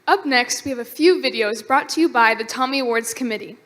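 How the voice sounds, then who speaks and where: A woman emceeing an awards ceremony in a bright, sing-song announcing manner. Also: on the high side, a little fast. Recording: echoey hall, mic far off, loud music.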